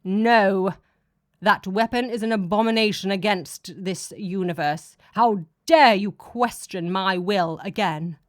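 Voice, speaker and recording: A woman's voice: medium pitch, slow pace, no echo, lightly acted. A menacing false god of an alien people, acted out by a woman for an audiobook. He is a cloaked tyrant who sneers and commands with open contempt. The sound is dry, with very little room. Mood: menacing disgust. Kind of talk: dramatic audiobook character voice.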